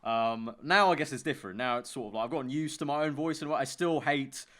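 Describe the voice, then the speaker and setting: A young man chatting as a podcast guest, talking loudly and enthusiastically with quick laughs. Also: medium pitch. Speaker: podcast guest, YouTube creator. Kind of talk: casual podcast chat.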